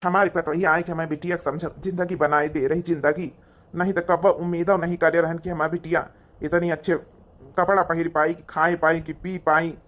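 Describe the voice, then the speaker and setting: Woman doing old awadhi village dad in a cartoon, pretty monotone, gentle n plaintive. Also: fairly low pitch, moderate pace, close to an everyday voice. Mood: grateful, hopeful. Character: mild, doting old village father. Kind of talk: Hindi cartoon comedy acting.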